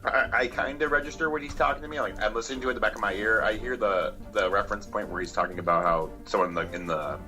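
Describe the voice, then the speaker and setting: A man's voice: on the low side, fast, few pauses, bright, forceful tone, soft background music. A man playing a tabletop roleplaying game, talking casually and methodically through his character's moves. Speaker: player.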